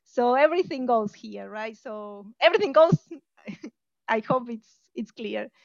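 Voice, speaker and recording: A woman's voice: fairly high pitch, expressive, a little slow, creaky, dry recording, highs cut off. A woman from Argentina, a native Spanish speaker with an Argentine accent, gives an informal online math lecture, friendly and full of fillers and laughs. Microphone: video call.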